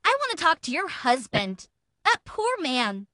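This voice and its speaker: A woman's voice: high voice, big pitch swings, measured pace, sharp tone, no echo, clean studio sound, exaggerated voice. A woman dramatically reading the texts of an abusive, self-pitying woman, in an accusatory, aggrieved manner. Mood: scornful. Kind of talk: dramatic reading of a text exchange.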